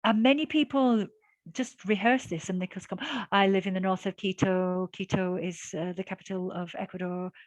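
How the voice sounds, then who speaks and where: A woman's voice: medium pitch, steady tone, normal speed, dry recording, poor audio. A woman teaching an online exam-prep class, talking in a chatty, encouraging way with many fillers and audible breaths. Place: video call class.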